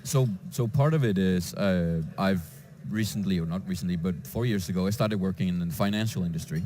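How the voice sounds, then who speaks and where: A man from Denmark, a database developer answering interview questions in a conference lounge, with frequent halting restarts. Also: low voice, dark tone. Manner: hesitant, with many restarts and fillers.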